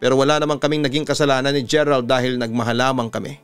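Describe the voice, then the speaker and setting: A man's voice: medium pitch, flat delivery, rapid-fire, smooth. A man, a radio storyteller, reading letters and narrating in an earnest, dramatic style. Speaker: radio announcer and storytelling host. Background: music under the voice.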